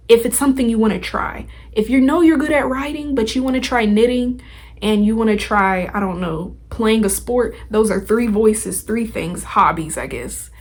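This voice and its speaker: Husky-voiced woman podcast host. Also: medium pitch, a little mellow. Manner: informal and slangy, emphatic, conversational. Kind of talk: casual motivational talk, direct to listeners.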